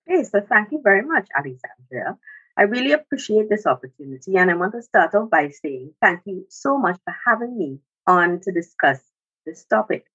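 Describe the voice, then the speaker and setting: Husky Trinidadian woman explaining like a lecturer. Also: medium pitch, very animated, brisk, a bit bright, dull audio. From Trinidad and Tobago. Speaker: academic guest, music sociologist. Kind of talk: explanatory interview talk.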